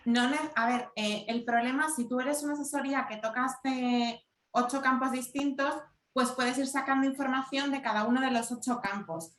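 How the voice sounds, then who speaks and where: A woman who leads corporate communications, giving measured, explanatory answers on a webinar panel over a video call. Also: high-pitched, fairly even intonation, a bit bright, roomy sound, slight background noise. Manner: measured, explanatory, repeats points for emphasis.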